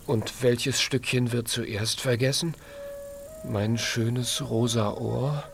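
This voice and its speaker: A man's voice: mid-range voice, fairly even intonation, takes it slowly, creaky, soft background music. A man playing a gloomy, anxious little piglet in a children's radio play, speaking in a hesitant, earnest, childlike way. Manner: hesitant, downcast questioning.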